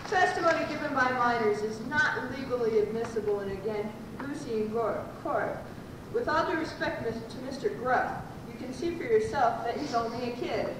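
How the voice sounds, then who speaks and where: A woman's voice: fairly high pitch, normal speed, natural voice. A woman playing a confident, formal defence lawyer in an amateur radio comedy. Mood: objecting. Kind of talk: amateur old-time radio comedy acting. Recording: very echoey, distant, noisy room recording.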